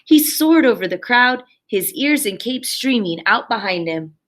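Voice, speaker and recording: A woman's voice: on the high side, lively intonation, a little slow, a bit bright. A woman primary school teacher reading a story aloud expressively and talking simply and encouragingly to young children.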